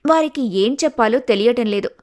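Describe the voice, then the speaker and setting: A woman's voice: fairly high pitch, fairly quick, a little mellow. A woman narrating a scripted family story as the storyteller.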